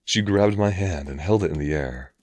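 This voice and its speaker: A man's voice: deep voice, moderate pace, darkish tone, no echo. A man narrating a scripted first-person horror story.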